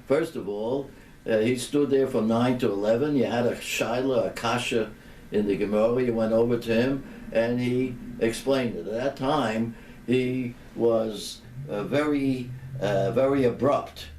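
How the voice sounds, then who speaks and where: A man over eighty, a rabbi reminiscing in a deliberate, halting way with pauses between phrases. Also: mid-range voice, fairly even intonation, darkish tone. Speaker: rabbi giving a personal remembrance. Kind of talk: unhurried reminiscing tribute talk. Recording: roomy, distant microphone, background noise.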